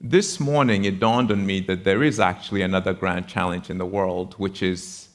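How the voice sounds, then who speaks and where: A middle-aged man with a Ghanaian accent, explaining his work on a panel in a measured, deliberate way with pauses between phrases. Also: deep voice, steady tone. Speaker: panelist, university founder. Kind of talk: panel discussion, explanatory speech.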